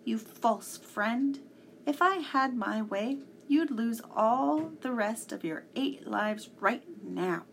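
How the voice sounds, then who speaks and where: A woman voicing a headstrong, indignant little girl in a storybook, speaking in sharp, scolding bursts. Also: medium pitch, sing-song, unhurried, slight background noise. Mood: snapping.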